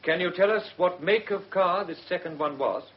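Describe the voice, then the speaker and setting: Man acting deferential British prosecuting barrister, formal measured questions, fairly high voice, muffled old radio recording. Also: natural voice. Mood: formal, probing. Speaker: actor.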